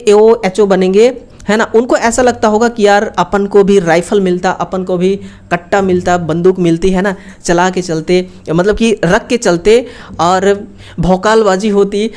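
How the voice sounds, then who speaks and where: A woman teaches an exam-prep class with a mid-pitched voice. She explains things informally, in colloquial, chatty language. She often adds little check-in tags as she goes. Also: a little mellow. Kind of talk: informal explanatory online lesson.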